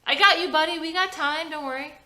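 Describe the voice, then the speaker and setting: A woman playing a patient, encouraging father in a script reading, reassuring and gently chiding. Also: high voice, a little fast, voice pushed up. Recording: roomy echo.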